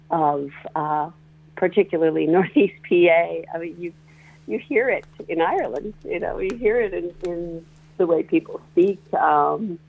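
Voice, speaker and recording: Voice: medium pitch, vocal fry. A guest in their forties from northeastern Pennsylvania, telling their story in a rambling, hesitant radio interview with many fillers and restarts. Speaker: guest, musician and songwriter. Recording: tinny phone-like radio audio.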